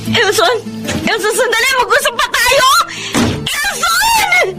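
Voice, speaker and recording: An actress playing a remorseful, pleading young wife in a Filipino radio drama, with a Filipino accent and an emotional delivery. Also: female voice, very high voice, big pitch swings, slow pace, raised voice, loud music behind the voice, heavily acted. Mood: desperate.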